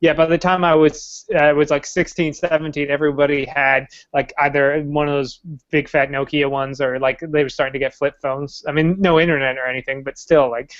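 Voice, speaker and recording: A man's voice: a little high, flat delivery, fairly quick, hardly any pauses, lo-fi sound. A man in his late twenties, co-hosting a podcast, telling jokey, profane stories with laughs. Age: late 20s. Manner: joking, laughing, profane storyteller. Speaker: podcast co-host. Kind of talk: casual chat.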